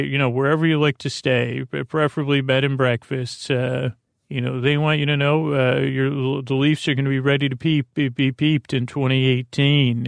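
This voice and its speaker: Male bedtime podcast host: gravelly, flat-pitched, lulling, with meandering hesitant introductions. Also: dry recording, clean studio sound. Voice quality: creaky. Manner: meandering, hesitant, lulling delivery with self-corrections.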